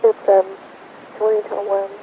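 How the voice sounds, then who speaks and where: A woman's voice: completely flat delivery, normal speed. Female voice, casual personal phone message, hissy old answering machine recording. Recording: noisy old answering machine tape, muffled hiss. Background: tape hiss and rumble.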